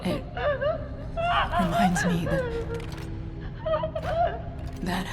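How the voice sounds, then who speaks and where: Wildly swooping defiant cyborg warrior. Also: female voice, extremely high pitch, huge pitch swings, exaggerated voice. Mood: pained, intense. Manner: intense, deliberate, theatrical. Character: vengeful female cyborg warrior, defiant and wounded. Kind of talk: dramatic video game cutscene acting. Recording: very loud music under the voice.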